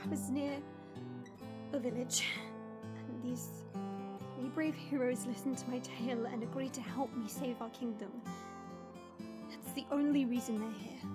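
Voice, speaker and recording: A woman's voice: fairly high pitch, fairly even intonation, unhurried, pauses often, loud music behind the voice, lightly acted. A woman playing a proud young dragon princess in human form, speaking formally and earnestly in a dramatic fantasy audio roleplay. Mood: guilty, confessing.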